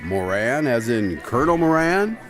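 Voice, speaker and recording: A man's voice: mid-range voice, big pitch swings, moderate pace, fairly bright tone, soft background music. A man acting a chatty, blunt, folksy fellow who tells his own adventure in a radio drama. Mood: blunt, suspicious. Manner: folksy, tactless.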